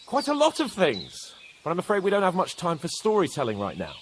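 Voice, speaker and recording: Cocky young noble knight, game cutscene; swaggering, jesting, bright-pitched and lively. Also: male voice, slight background noise. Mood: brisk, lordly. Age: young adult. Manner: jesting, lordly and quick-witted. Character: cocky young male knight, joking and boastful. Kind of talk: video game cutscene acting.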